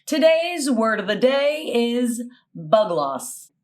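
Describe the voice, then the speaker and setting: A woman's voice: fairly high pitch, sing-song, takes it slowly, a little mellow. A middle-aged woman leading a word quiz on a comedy game, casual, playful and wry. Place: room at home, video call.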